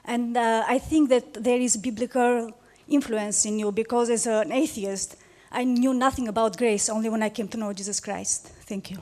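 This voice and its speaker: A woman's voice: a little high, fairly even intonation, normal speed, darkish tone. A woman from Albania with an Albanian accent, speaking from the audience at a public debate and giving an earnest, emphatic testimony. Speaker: audience member.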